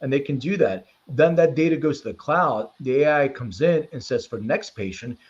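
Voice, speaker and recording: A man's voice: mid-range voice, moderate pace. Man with dull, lo-fi sound, explaining informally. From born in Lithuania, raised in Philadelphia from age six. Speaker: interview guest, precision medicine company leader. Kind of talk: conversational interview answers and personal storytelling.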